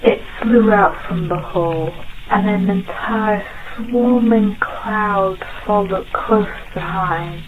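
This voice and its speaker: Female storyteller for an eerie children's tale: unhurried, soft and half-whispered, on a thin, noisy old tape. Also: medium pitch. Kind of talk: scripted narration of a dark children's tale. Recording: muffled, noisy old tape, phone-like band.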